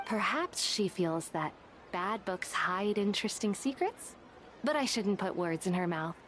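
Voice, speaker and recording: A woman's voice: medium pitch, expressive, unhurried, a little hiss or hum, natural voice. A woman voicing a tactful, bookish young female scholar in a video game, wry, careful and a little shy. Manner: careful, wry, slightly hesitant.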